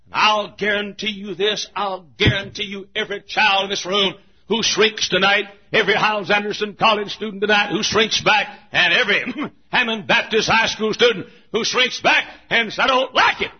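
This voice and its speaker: An older man preaching a sermon in a church, swinging from hushed asides to shouting and chuckling through his lines. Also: high-pitched, steady tone, normal speed, slightly rough. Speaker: pastor. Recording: muffled lo-fi recording.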